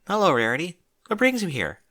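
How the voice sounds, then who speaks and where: A man's voice: sits high, huge pitch swings, brisk, pushed and bright, pitched above the performer's own voice, heavily acted. A man voicing a bookish, anxious female unicorn who speaks earnestly and fretfully in a storybook reading. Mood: friendly, explaining.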